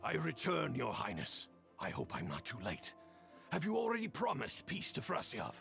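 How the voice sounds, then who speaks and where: A man's voice: mid-range voice, huge pitch swings, normal speed, creaky, a bit bright, heavily acted. A man voicing an earnest theatre actor in a video game, theatrical in delivery. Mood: grave, urgent. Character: earnest theatre actor playing a grave royal tutor. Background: background music.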